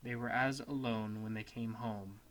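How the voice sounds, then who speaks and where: A man's voice: medium pitch, fairly even intonation, a little slow. Man giving a solemn scripted reading. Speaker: student narrator. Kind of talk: scripted narration, dramatic reading of an essay.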